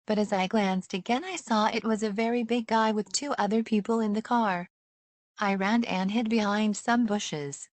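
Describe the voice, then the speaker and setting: Woman as scared female witness in a scenario drama: mid pitch, plain scripted storytelling, dry recording. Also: moderate pace, dry recording, close to an everyday voice. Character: frightened female eyewitness who was followed. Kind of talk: scripted eyewitness account.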